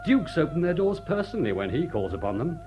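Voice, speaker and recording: An actor playing a suave, unflappable butler in a radio drama, formal and dry, with an upper-class English accent. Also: male voice, a little high, quick pace, soft background music. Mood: knowing, wry. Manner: formal, dry, deadpan wit. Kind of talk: British radio drama acting.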